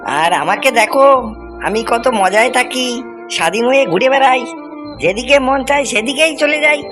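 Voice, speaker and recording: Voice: medium pitch, normal speed, lightly acted. A performer voicing a clever, friendly fox in a cartoon moral tale, talking in a chatty, coaxing way. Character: clever, carefree, friendly fox who coaxes and cautions. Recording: music bed under the voice.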